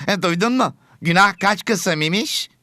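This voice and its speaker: A man's voice: sits high, lively intonation, moderate pace, sharp tone, dry recording. A man voicing a patient rabbit pupil in an educational cartoon, earnest and reasoning. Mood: curious. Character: patient rabbit pupil who loves carrots.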